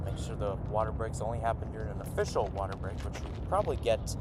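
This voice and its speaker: A man's voice: fairly low pitch, lively intonation, fast, noisy background. A man giving live radio play-by-play of a soccer match from outdoors at the field, calling it in short running phrases with asides. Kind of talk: live radio sports commentary.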